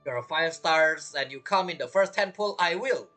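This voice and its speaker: Lively low-pitched female game streamer. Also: normal speed. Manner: slangy, jokey, exclamatory. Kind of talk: casual reaction commentary over gameplay. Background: game music.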